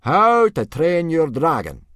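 A man's voice: fairly high pitch, wildly swooping pitch, slow pace, fairly bright tone, no room sound, clean studio sound, pitched above the performer's own voice, exaggerated voice. Man voicing a bellowing, self-important Viking warrior. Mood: solemn. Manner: bellowing, solemn. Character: self-important, solemn Viking warrior hero, falsely modest. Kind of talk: children's audiobook character voice.

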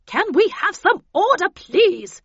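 A woman's voice: fairly high pitch, lively intonation, moderate pace, slightly rough, dry recording, muffled recording, pitched above the performer's own voice. A woman voicing a stern, commanding Victorian lady who keeps order like a schoolmistress, in an audiobook. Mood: exasperated, loud.

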